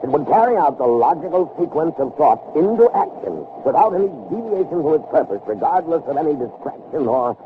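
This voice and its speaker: Intense scientist, thin old radio sound. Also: male voice, on the high side, very animated, a little fast, few pauses, phone-quality sound, noisy background. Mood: frustrated, intense. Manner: theatrical, brooding, fervent delivery. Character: intense, obsessive male scientist impatient with human weakness. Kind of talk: early 1960s radio drama acting.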